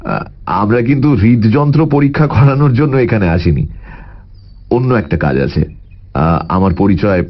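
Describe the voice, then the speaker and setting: Polite private detective, measured questions, low pitch, lively melody, audio story. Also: male voice, muffled recording. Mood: calm, inquiring. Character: polite, probing male private detective, calmly persistent.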